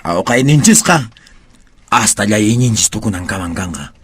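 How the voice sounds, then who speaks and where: An actor playing a grave, determined Andean rebel leader in a radio soap, talking earnestly and deliberately. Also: male voice, on the low side, animated, fairly bright tone, husky, heavily acted.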